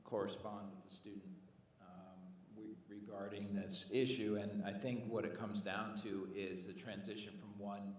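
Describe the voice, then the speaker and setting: Low-voiced administrator in an echoey hall. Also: male voice, flat delivery, a little hiss or hum. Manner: explanatory, measured, filler uhs. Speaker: school district administrator. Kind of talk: answering at a board meeting. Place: large meeting room. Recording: distant mic in echoey hall.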